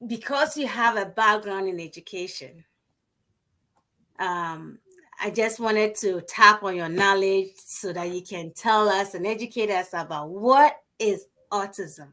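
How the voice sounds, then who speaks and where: A woman with a bright, clear voice hosts an online conversation. She greets her guest and listeners in a welcoming, upbeat way and keeps the exchange moving. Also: medium pitch, unhurried. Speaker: host. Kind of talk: casual online interview.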